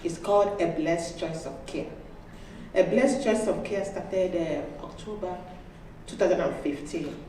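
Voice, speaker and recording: A woman from Nigeria with a Nigerian accent, a registered nurse giving a friendly personal pitch about her business. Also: measured pace, mellow, a little hiss or hum. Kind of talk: personal pitch to a live audience. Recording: echoey hall, distant mic.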